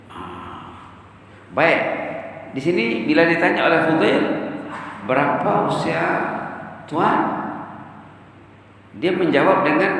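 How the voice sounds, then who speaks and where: A man's voice: medium pitch, a bit bright. Guy giving an islamic sermon, super echoey hall, mic kinda far away, teachy, asks questions then answers them. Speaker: Islamic preacher (ustaz). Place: large mosque prayer hall. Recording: very echoey mosque hall, distant mic, faint noise.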